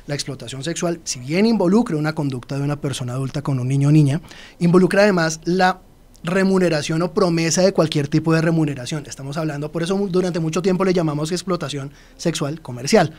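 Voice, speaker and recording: Un hombre colombiano con acento colombiano, invitado experto en una entrevista de radio, que explica con calma y se dirige directamente a los oyentes. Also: male voice, fairly high pitch, fast. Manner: explanatory, addresses listeners directly. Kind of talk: radio interview.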